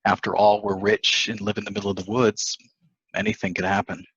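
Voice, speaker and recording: A man's voice: on the low side, level tone, a little fast, fairly bright tone, husky, no echo. A man playing a coldly calculating newlywed husband in a table reading of a stage play, in dull audio.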